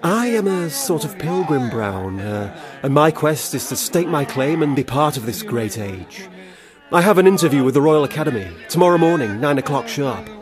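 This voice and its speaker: High-pitched, pompous young gentleman. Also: big pitch swings, moderate pace, over music. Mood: hopeful, grandiose. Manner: florid, hesitant, pompous. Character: Branwell Bronte, self-important, hopeful young gentleman artist. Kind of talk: radio drama acting.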